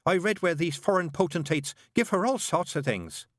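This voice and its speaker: A male audiobook reader voicing a flustered, chatty woman who is a nun and nurse, talking in a gushing, hurried way. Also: high voice, animated, dry recording, clean studio sound, voice pushed up. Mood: nervous chatter.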